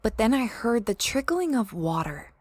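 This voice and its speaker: A woman's voice: on the high side, sing-song, normal speed, a little mellow, husky, no room sound. A woman playing a space explorer who recounts a strange expedition in a conversational, first-person audio drama style. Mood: reflective, curious. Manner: reflective, conversational storytelling.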